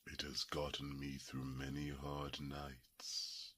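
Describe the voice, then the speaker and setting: A man voicing an old, grave, courtly red dragon in a fantasy audio roleplay, with a gravelly voice and formal, archaic speech. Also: completely flat delivery, pushed and bright, heavily acted. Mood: solemn, mournful. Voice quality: gravelly, very deep, husky. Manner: slow, formal, archaic phrasing.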